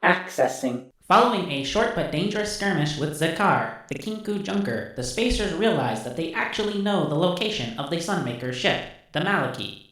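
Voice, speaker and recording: A man voicing a formal, detached neural computer that recites stored memories in measured narration, with an echoing effect. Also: on the high side, expressive, fairly bright tone, voice pushed up. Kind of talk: scripted recap narration. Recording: heavily echoing, distant-sounding.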